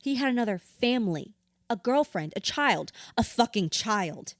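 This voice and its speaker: A woman's voice: on the high side, animated, normal speed, no echo, clean studio sound, natural voice. An actress playing a hurt, bitter widow confiding in her therapist in an audio drama, raw, blunt and sweary. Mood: hurt, indignant. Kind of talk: audio drama acting, confessional dialogue.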